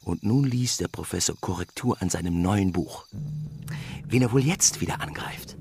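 An actor playing a wry, gossipy educated gentleman with a husky voice, savoring his words in a radio drama. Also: sits low, lively intonation, moderate pace, music in the background. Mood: wry relish.

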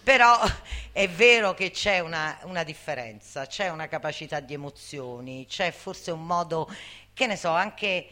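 A woman's voice: sits low, expressive, normal speed, sharp tone. An older woman speaking informally and passionately to others, emphatic and often seeking agreement with tag questions. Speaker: guest speaker, veteran activist. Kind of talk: impassioned informal public talk.

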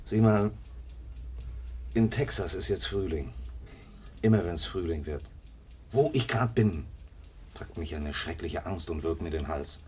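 A man's voice: low voice, animated, measured pace, pauses often, a little mellow, slight background noise. An actor playing a restless, self-doubting drifter in his thirties in a 1950s German radio play, brooding, given to bursts of fervour. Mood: brooding, despairing. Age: 30s.

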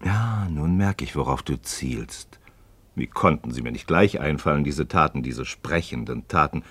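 A man's voice: very deep voice, dry recording. An actor playing an inquisitive, skeptical man in a radio play, speaking articulate, rhetorical in philosophical dialogue. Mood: eager, ironic.

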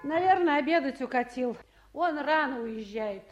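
An actress playing a brisk, commanding woman town official in a 1950s Soviet radio drama. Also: high voice, lively intonation, highs cut off, faint music behind. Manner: businesslike, commanding, conversational. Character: brisk, bossy female town council official, warm underneath. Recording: roomy old radio studio recording.

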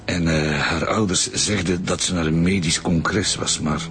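Deep-voiced, halting, uneasy Flemish husband. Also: male voice, steady tone, a bit bright. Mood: subdued, anxious. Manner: halting, repeats himself. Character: uneasy, defensive husband of a missing woman. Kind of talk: naturalistic radio drama acting. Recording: music behind the voice.

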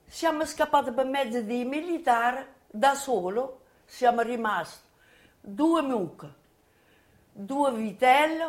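An elderly woman recounting her memories as personal testimony, halting and often repeating herself as she goes. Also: a little high. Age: 80s or older. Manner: halting, repetitive storytelling. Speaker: witness giving video testimony. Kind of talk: personal reminiscence, spoken testimony.

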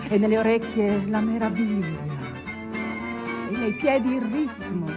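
A woman playing a flamboyant, sardonic master of ceremonies on an ocean liner, showy and declamatory, with quick dry asides. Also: on the high side, over loud music, close to an everyday voice. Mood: sardonic, playful. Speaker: stage actor. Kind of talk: theatrical comic announcement.

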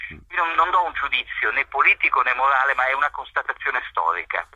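A man, a journalist, answering interview questions in a measured way, pausing often with fillers. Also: medium pitch, sharp tone, slightly rough, dry recording, muffled recording. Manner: measured, hesitant with frequent fillers.

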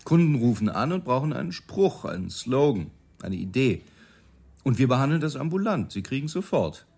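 Animated, matter-of-fact male office boss. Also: mid-range voice, normal speed, gravelly, breathy edge, full character voice. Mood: proud, matter-of-fact. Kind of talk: radio play dialogue, interview answers.

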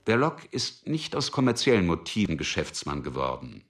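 A man's voice: sits low, big pitch swings, normal speed, a little hiss or hum. A man narrating a radio drama from a script.

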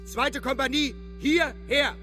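Casting: army company commander, radio play; shouted, high-pitched orders, slowly spaced, with music behind. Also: male voice, steady tone, exaggerated voice. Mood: commanding. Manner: shouted drill commands, clipped phrases. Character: male military commander calling his company to march. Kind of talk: German radio drama acting.

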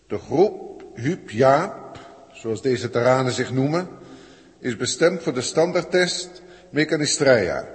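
Haughty alien overseer, cavernous reverb. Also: male voice, on the high side. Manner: pompous, precise, bureaucratic. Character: haughty, irritable senior alien overseer judging primitive humans. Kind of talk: 1960s science-fiction radio play acting. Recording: long reverberant hall, old radio recording.

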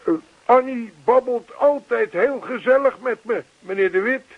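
An actor playing an earnest, lonely old widower in a radio play, talkative and old-fashioned, repeating himself. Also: male voice, very high-pitched, measured pace, narrow, tinny audio, full character voice. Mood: warmly earnest. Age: older.

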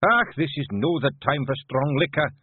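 A man voicing a tiny, brooding, stubborn clan chief with a gruff Scottish accent, in a storybook reading. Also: fairly high pitch, animated, moderate pace, no room sound, phone-quality sound, voice pushed up. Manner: gruff, emphatic.

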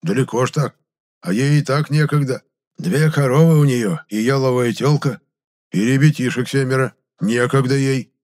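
A man's voice: medium pitch, normal speed, darkish tone, pitched above the performer's own voice, close to an everyday voice. A man voicing a cranky, forgetful old village grandfather who rambles in folksy rustic speech, in an audiobook story. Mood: chatty, matter-of-fact.

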